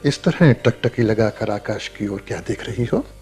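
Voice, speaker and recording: An actor playing an earnest, probing playwright visiting a prison in a radio drama, speaking with emotion, insistence and dramatic pauses. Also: male voice, on the low side, animated, darkish tone, slightly rough, soft background music. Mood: polite, curious.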